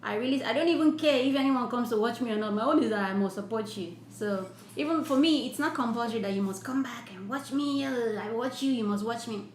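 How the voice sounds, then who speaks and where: A woman's voice: fairly high pitch, fairly quick, hardly any pauses. A woman hosting a live stream, reading viewer comments aloud and talking earnestly about charity and loving people. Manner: warm, effusive, blesses viewers at length; earnest, repetitive preaching tone. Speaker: livestream host. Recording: echoey room with faint ambient noise.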